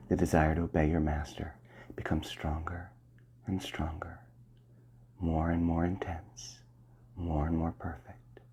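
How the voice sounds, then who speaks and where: A man with a very low, dark voice leads a slow ASMR hypnosis session. His tone is gravelly and deeply breathy, and he speaks in soft, commanding phrases that often sink to a half-whisper.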